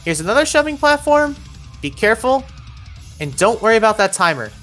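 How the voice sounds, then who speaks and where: A woman's voice: a little high, very animated, brisk, fairly bright tone, faint music behind. A woman narrating an instructional video game walkthrough, giving encouraging step-by-step directions.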